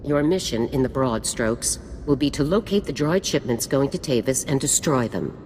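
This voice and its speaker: A stern female military general from a video game, with a very low voice that moves expressively. She gives orders with formal, clipped authority in a space with long reverb. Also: animated, moderate pace, a little mellow. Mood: grave, commanding. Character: stern, commanding female special forces general. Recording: long reverb with faint noise.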